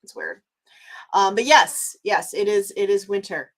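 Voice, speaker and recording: A woman from Canada hosts her own live vlog in a loose, conversational way. She rambles, restarts sentences and fills pauses as she talks to viewers, in a voice of middling pitch. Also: animated. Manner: chatty, rambling with many fillers and restarts. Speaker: vlogger. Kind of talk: casual live-stream chat.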